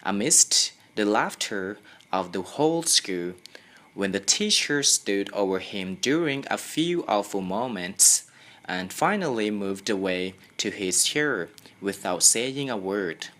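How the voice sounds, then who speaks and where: A young adult student from Thailand with a Thai accent, reading a story aloud as a careful, halting amateur audiobook narrator. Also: low-pitched, lively intonation, fairly bright tone.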